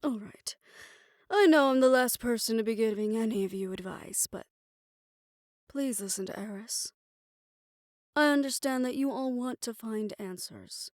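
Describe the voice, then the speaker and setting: A woman playing a calm, wry, curious-minded friend in an audio drama, giving caution in a measured, gentle way. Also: a little high, no room sound, studio quality, close to an everyday voice. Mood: gentle warning. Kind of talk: scripted audio roleplay drama acting.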